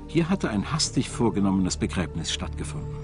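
A man narrating a scripted documentary in a measured, solemn storytelling manner. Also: fairly low pitch, big pitch swings. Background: background music.

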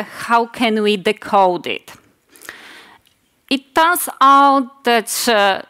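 A woman in her forties with a Polish accent, a native Polish speaker giving a technical conference talk on a hall stage at a lectern mic, measured and often pausing to correct herself. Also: mid-range voice, fairly bright tone, smooth. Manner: measured, with frequent hesitations and self-corrections.

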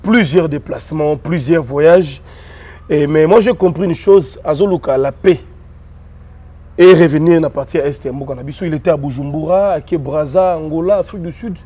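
Homme qui anime l'échange par des questions courtes, en mêlant le français et le lingala. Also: male voice, a little high, moderate pace, dark tone, husky. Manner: short prompts and questions, mixes French and Lingala. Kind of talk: talk show conversation.

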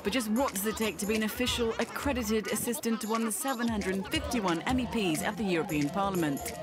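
A woman narrating a scripted documentary feature in a brisk, lively voice-over. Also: mid-range voice. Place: voice-over studio. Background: background music.

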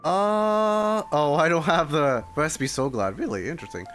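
A man's voice: a little high, animated, moderate pace, fairly bright tone. A male game streamer chatting casually as he plays, with very varied intonation. He gives short, muttered reactions over faint game music. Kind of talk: casual gameplay reaction chat.

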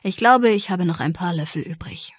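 Woman voicing an easygoing male policeman, clear and dry. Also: fairly low pitch, moderate pace, no echo. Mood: casual, tentative.

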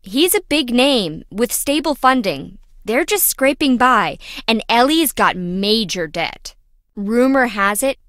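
A woman's voice: fairly high pitch, fairly bright tone, no room sound, studio quality. A woman playing a quirky, dramatic young female lab worker in a video game, chatty, wry and theatrical.

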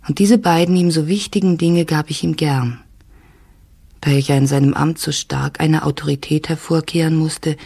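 A woman's voice: low voice, creaky, mellow, husky, heavily acted. A woman playing a worried wife, reading her letter to her sister in an intimate, reflective audio-drama style. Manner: reflective, confiding.

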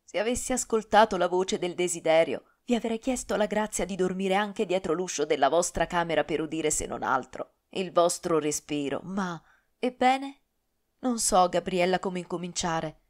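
A woman's voice: on the low side, normal speed, darkish tone, lightly acted. A woman reading an earnest, tormented young nobleman. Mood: tender. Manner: earnest, tender and solemn.

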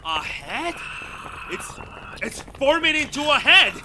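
A man playing an excitable, skeptical scientist in a retro radio drama, melodramatic and halting, increasingly astounded. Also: very high voice, very noisy, exaggerated voice. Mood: agitated wonder.

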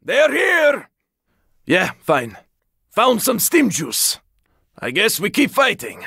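A battle-weary man fighting through a zombie apocalypse in a video game. His voice is pitched very high for a man and is sharp and tense, with a rough rasp. He throws out short, forceful callouts in a close, dry recording. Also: huge pitch swings, slow pace, no echo, full character voice. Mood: weary, resigned. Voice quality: raspy, gravelly. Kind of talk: video game combat barks.

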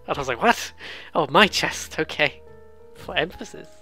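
Bright-voiced, rambling let's player. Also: medium pitch, lively intonation, normal speed, husky, faint music behind. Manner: rambling, jokey, self-deprecating. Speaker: let's play host. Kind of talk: casual game commentary with read-aloud dialogue.

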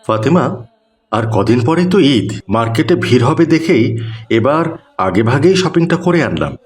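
A man's voice: mid-range voice, big pitch swings, moderate pace, lightly acted. Earnest, moralising family man voiced by an actor. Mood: kindly. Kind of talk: Bengali Islamic cartoon dialogue.

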